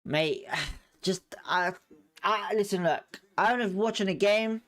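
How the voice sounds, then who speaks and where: A man's voice: high-pitched, unhurried, fairly bright tone. A man hosting a live fan watchalong at home, calling the play and chatting his opinions while hedging them. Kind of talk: live fan commentary over a match.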